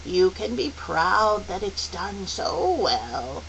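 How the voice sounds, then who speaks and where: Brief: female narrator playing comforting old otherworldly lady for a storybook, raised lively pitch, gentle, endearing, slightly slow. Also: vocal fry, exaggerated voice, low-quality recording. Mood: reassuring. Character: kindly, comforting old celestial lady, motherly and wise. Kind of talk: audiobook character voice. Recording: faint hiss.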